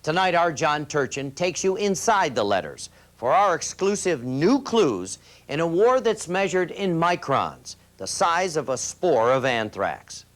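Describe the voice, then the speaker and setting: A man's voice: fairly high pitch, big pitch swings, measured pace. An American man narrating a scripted, dramatic crime news show as its host. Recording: loud music bed behind voice. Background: loud music.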